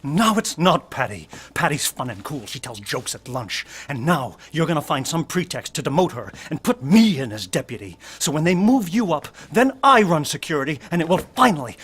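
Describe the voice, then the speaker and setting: Resentful male security officer, husky and animated, wheedling menace for an audio thriller. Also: a little high, moderate pace, hardly any pauses, exaggerated voice. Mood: petulant menace. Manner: petulant, menacing, volatile. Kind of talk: audio drama acting.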